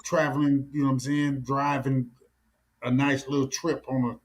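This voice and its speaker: A man's voice: medium pitch, flat delivery, moderate pace. A middle-aged American man hosting a casual chat, talking slangily and often repeating his words. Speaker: talk show host. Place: small room indoors.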